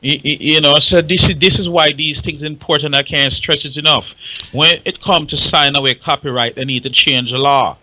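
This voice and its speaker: A man's voice: a little high, fairly even intonation, normal speed, sharp tone, low-quality recording. A man with a Caribbean accent making his case emphatically in a panel discussion, repeating points and asking rhetorical questions. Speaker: talk show panellist on music business.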